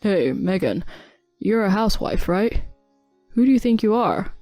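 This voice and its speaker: A woman's voice: medium pitch, very animated, measured pace, dark tone, no room sound, soft background music. Condescending young husband, voiced by a woman. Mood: scornful. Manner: curt, condescending. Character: dismissive young husband, cold and scolding.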